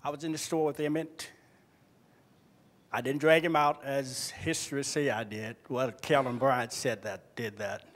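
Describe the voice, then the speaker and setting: A man speaks to an audience through a handheld mic. His voice is medium in pitch, and he makes each point firmly and deliberately. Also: steady tone. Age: 60s. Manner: firm, deliberate. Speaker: guest eyewitness. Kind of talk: personal testimony to an audience. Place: auditorium stage. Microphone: handheld stage microphone.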